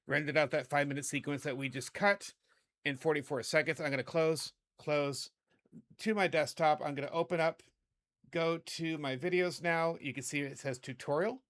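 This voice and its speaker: A hoarse man in middle age walks viewers through a video edit in a loose, rambling way, often correcting himself mid-sentence. His voice sits a little high for a man. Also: steady tone, moderate pace, a bit bright. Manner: chatty, rambling, self-correcting. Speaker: video editing tutorial host. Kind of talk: casual screen-recorded tutorial.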